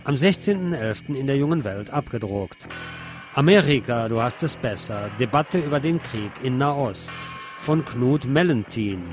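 A man's voice: mid-range voice, expressive, moderate pace, over loud music. A man hosting a radio show, reading a news commentary aloud. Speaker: radio host.

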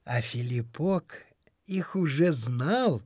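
A woman narrating a children's story in an expressive, dramatic storytelling manner. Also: deep voice, takes it slowly, breathy edge, no room sound, clean studio sound. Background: music.

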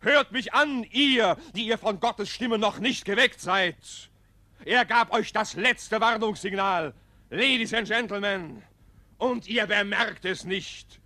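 A man playing a fervent doomsday street preacher in a German radio play, loudly declaiming and exhorting. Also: sits high, slow, dry recording, full character voice.

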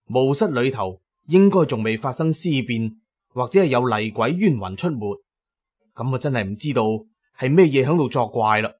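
A man's voice: medium pitch, lively intonation, moderate pace, a little mellow, breathy edge. A man giving dramatic first-person narration as the narrator of an audio drama.

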